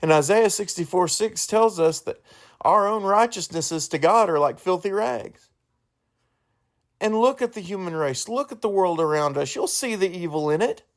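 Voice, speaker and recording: A man hosting a solo podcast in an informal, earnest way, halting and correcting himself as he goes. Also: high-pitched, animated. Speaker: podcast host.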